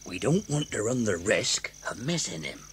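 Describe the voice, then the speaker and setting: A man's voice: on the low side, big pitch swings, normal speed, slight background noise. An actor playing a bossy, impatient male farmer in a children's audio drama, with a blustering manner.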